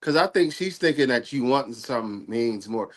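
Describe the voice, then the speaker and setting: A man in his forties, a bit sick and nasal, talking in a relaxed, slangy way on a live stream chat. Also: medium pitch, a little fast. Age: 40s. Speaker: guest on a live stream talk. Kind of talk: casual chat.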